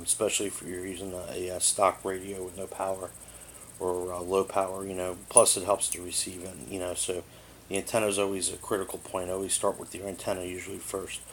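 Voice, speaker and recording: A man giving a casual hands-on product overview in a rambling, matter-of-fact way, recorded indoors on a tablet microphone. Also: low-pitched. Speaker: product reviewer. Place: living room indoors. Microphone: tablet's built-in microphone. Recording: noisy amateur tablet recording.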